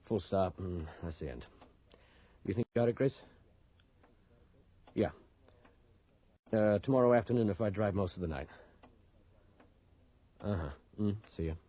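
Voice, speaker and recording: Husky, low-voiced cynical reporter. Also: male voice, lively intonation, unhurried, long pauses. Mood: brisk, weary. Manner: sardonic, muttering to himself. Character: cynical, wry male reporter, an outsider in town. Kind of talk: radio drama acting. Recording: muffled early 1980s radio broadcast.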